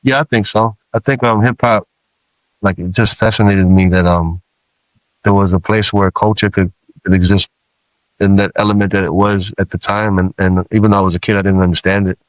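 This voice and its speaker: A man in his forties who grew up in Samoa, a rapper guest telling stories in relaxed, casual talk with many fillers. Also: low-pitched, brisk, no room sound, dull audio.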